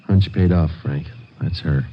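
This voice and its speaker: An American man playing a stoic police detective sergeant who narrates his case in terse, deadpan 1940s radio style. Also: a bass voice, a little slow, slight background noise. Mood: matter-of-fact. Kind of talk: 1940s radio drama first-person narration. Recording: dull old radio recording with music.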